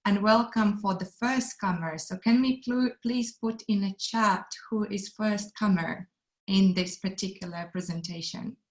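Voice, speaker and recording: Casting: woman from Latvia, mid pitch, earnest presenter, roomy echo. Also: unhurried. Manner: non-native phrasing, earnest. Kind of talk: webinar presentation. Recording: echoey room.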